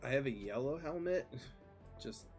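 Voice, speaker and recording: A man's voice: medium pitch, animated, normal speed, darkish tone, soft background music. Flustered male host mid-game. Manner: flustered, rambling. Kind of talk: casual chat while gaming.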